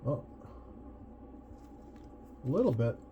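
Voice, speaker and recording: Slow-talking man muttering over background noise. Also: mid-range voice, sing-song, muffled recording. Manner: muttering to himself while tinkering. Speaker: radio hobbyist doing bench tests. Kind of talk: casual hands-on commentary, thinking aloud. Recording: noisy, with clear background noise.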